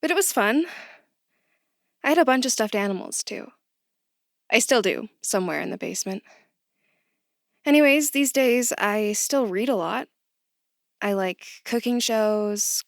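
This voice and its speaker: A woman's voice: mid-range voice, animated, a little slow, creaky, long pauses, no room sound, studio quality. A woman playing a bookish, good-humored new employee in an audio drama, talking in a mild, playful, conversational way. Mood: chatty, reflective. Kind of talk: naturalistic audio drama acting.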